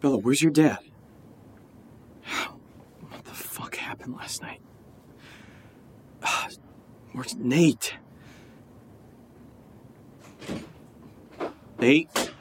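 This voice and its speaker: A man's voice: mid-range voice, drawn-out words, pauses often. Guy acting as a confused wary dude in an audio drama, sardonic muttering, bit of noise behind. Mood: confused, worried. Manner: hesitant, muttering, sardonic asides. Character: bewildered, wary man puzzled by his housemate's behavior. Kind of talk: audio drama dialogue and monologue.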